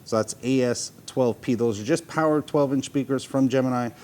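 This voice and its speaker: A man's voice: moderate pace, a little mellow. A man casually answering viewer questions as a host, talking off the cuff in a helpful, loosely phrased way. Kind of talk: casual, unscripted explanatory talk. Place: showroom. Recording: clear low rumbling background noise.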